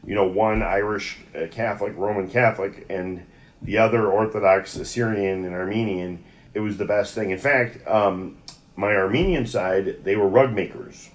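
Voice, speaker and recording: A man's voice: on the low side, fairly even intonation, moderate pace. A middle-aged American man telling personal stories as an interview guest, in a rambling, conversational, anecdotal way. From United States (Yonkers, New York). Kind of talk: conversational oral-history storytelling.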